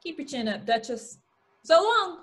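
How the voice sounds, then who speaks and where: A woman's voice: high-pitched, big pitch swings, normal speed, fairly bright tone. A woman playing a worldly, blunt, coaxing friend in a stage play table read. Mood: breezy.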